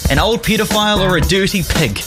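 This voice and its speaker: High-voiced New Zealand radio host, man. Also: quick pace. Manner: playful, mock exasperated. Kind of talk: live music radio presenting with listener calls. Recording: music playing under the voice.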